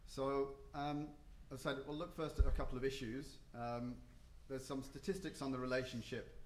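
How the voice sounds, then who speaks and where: A man talks at a conference panel in a hotel meeting room, pausing often with ums and restarting his sentences. His voice sits at a middle pitch and carries the echo of a roomy hall, with faint ambience behind it. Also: little pitch movement, a little hiss or hum. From not Japan or the US. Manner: hesitant, many ums and restarts.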